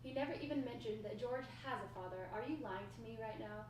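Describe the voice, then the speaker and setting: A woman's voice: on the high side, quick pace, a little mellow, natural voice. A woman in a table read playing a stern, suspicious housemaid with formal, curt phrasing. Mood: firm. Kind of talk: amateur screenplay table read. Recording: echoey room, distant mic, faint noise.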